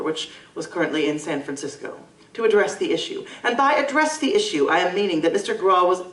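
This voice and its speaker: A woman's voice: lowish voice, lightly acted. A woman playing a prim Victorian chronicler, narrating an audio drama in florid, formal, dramatic language. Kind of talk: Victorian-style scripted narration. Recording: roomy live stage, distant mic.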